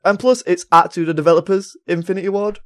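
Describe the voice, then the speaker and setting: A man's voice: high-pitched, steady tone, quick pace, fairly bright tone. A man chatting casually as a panelist on a podcast. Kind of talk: casual chat.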